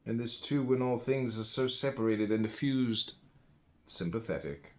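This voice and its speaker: A man's voice: medium pitch, normal speed. A man reading a philosophy audiobook, stressing key words and phrases with dramatic emphasis. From United States. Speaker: audiobook reader.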